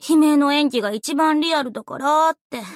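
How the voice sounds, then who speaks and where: A woman playing an earnest, bubbly, easily flustered young female idol in an anime game, with a cute, expressive, girlish delivery. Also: high-pitched, normal speed, dry recording, studio quality, lightly acted. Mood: mildly grumbling. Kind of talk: anime game character voice lines.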